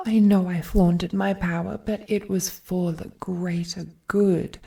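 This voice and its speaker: An ancient, godlike villainess, played by a woman, speaks straight to the listener in a romantic audio drama. Her voice is dark and husky, and she takes her words very slowly. She is regal, possessive and wounded, brooding theatrically and slipping into whispered phrases. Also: on the low side, heavily acted. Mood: frustrated, proud.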